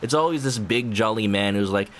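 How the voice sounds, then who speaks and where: A man's voice: lowish voice, a little fast, slight background noise. A young man streaming a video game, making casual, joking commentary as he plays. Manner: chatty, joking. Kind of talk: casual let's-play commentary. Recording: voice over game music.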